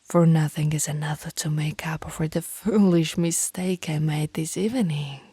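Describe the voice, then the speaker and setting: Slow, breathy whispering vampire played by a woman. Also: low voice, fairly even intonation, dark tone, dry recording. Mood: pleading, devoted. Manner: slow, formal, old-fashioned romantic phrasing. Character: lovestruck female vampire, courtly, menacing yet apologetic. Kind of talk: whispered ASMR roleplay.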